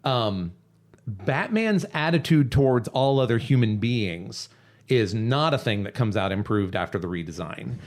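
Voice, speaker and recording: A man's voice: fairly low pitch, expressive, measured pace. A man hosting a podcast, talking through a cartoon series in a casual, analytical and emphatic way. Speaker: podcast host.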